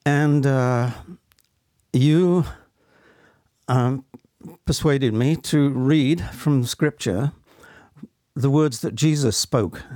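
An elderly man giving personal testimony as an interview guest, speaking in a halting, reflective and earnest way. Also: medium pitch, dark tone, dry recording, studio quality. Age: 70s or older. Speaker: interview guest, stage actor.

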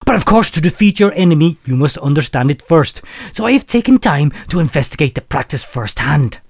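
A man's voice: on the high side, very animated, a little fast, gravelly. A man hosting a comedy podcast, reading out listener letters in a mock-solemn, preacherly delivery that keeps breaking into laughter.

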